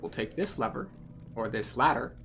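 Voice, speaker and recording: A man's voice: medium pitch, expressive, normal speed, fairly bright tone, slight background noise. A man giving explanatory, methodical commentary as he guides listeners through a video game playthrough.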